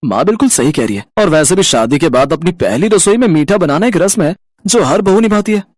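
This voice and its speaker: Woman doing a low-voiced earnest young husband. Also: expressive, brisk, a little mellow, no echo, studio quality. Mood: firm, reproving. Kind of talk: acted cartoon story dialogue.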